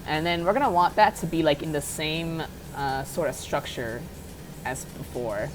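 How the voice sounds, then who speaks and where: Low-voiced woman rambling through a casual tutorial. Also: moderate pace, a little hiss or hum, low-quality recording. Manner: rambling, self-deprecating, apologetic. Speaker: tutorial maker. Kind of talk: casual unedited screen-recorded software tutorial.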